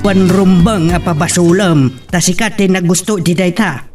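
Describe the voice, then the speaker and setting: A man's voice: sits high, moderate pace, natural voice. Casting: exasperated scolder played by a male actor, Ilocano accent, music underneath, homemade village drama. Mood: angry, scolding. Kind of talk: amateur Ilocano audio drama acting.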